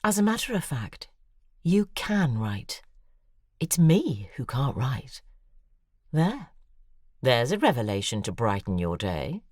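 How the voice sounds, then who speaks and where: An actress playing a bitter, imperious older novelist in an audio drama, with a theatrical, insistent delivery. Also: female voice, extremely low pitch, very animated, slow pace, pauses often, a little mellow, no room sound, clean studio sound, heavily acted. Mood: insistent, commanding.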